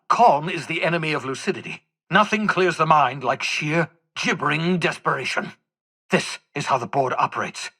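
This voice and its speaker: An embittered old renegade scientist for a video game. The voice is raspy and forceful, swinging widely in pitch. He rants with theatrical sarcasm and erudite, agitated desperation. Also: exaggerated voice. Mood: frantic, desperate. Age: older. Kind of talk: video game voice acting, dramatic monologue.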